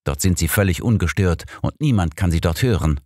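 A man's voice: sits low, animated, a little fast, darkish tone, no room sound, clean studio sound. A man voicing a venerable, courteous cardinal in an audiobook, gracious and formal in his welcome. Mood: welcoming.

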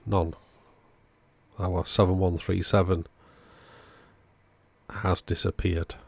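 A man's voice: sits low, level tone, slow, gravelly, no echo. A man narrating a hands-on electronics repair video in a casual way as its host.

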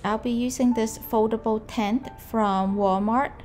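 A woman in her thirties, a potter, calmly explaining her market packing in a gentle vlog narration. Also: on the high side. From Canada. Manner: slow, gentle, careful delivery. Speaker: potter vlogger. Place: home room indoors. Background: background music.

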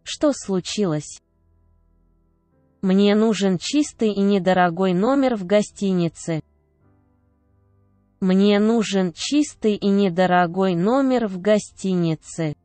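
A woman reading short phrases aloud one at a time, each clearly enunciated and measured. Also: medium pitch, dark tone, dry recording, soft background music. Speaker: Russian phrase reader. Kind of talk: slow repeated phrase reading.

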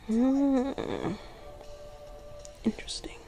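A young woman doing girlfriend ASMR roleplay in a soft, sleepy voice that often drops to a whisper, murmuring affectionately and drowsily. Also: high-pitched, fairly even intonation, drawn-out words, over music. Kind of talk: ASMR girlfriend roleplay, casual intimate chat.

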